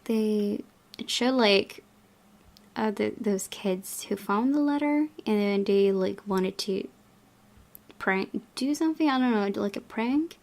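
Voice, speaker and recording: A woman hosting a casual game playthrough, reading and commenting, thinking aloud in a halting way. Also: medium pitch, darkish tone. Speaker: gaming video host.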